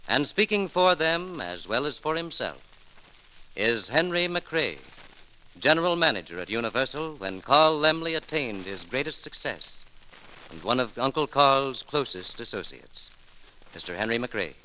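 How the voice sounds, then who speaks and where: A man's voice: medium pitch, big pitch swings, measured pace, sharp tone. A man announcing a scripted radio tribute in the 1930s manner, formal, solemn and declamatory. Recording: noisy 1930s radio broadcast recording.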